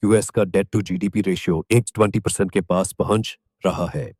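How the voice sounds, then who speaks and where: A man with an Indian accent reading scripted documentary narration in measured, emphatic short phrases. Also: low voice, steady tone, darkish tone, breathy edge, no echo, studio quality.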